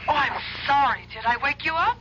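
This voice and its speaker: A woman's voice: high-pitched, expressive, fairly quick, bright, forceful tone. An actress playing a worried young woman calling her boyfriend, anxious and pleading, heard through a phone filter. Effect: telephone filter. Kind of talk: 1970s radio drama acting. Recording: dull old radio recording with faint hiss.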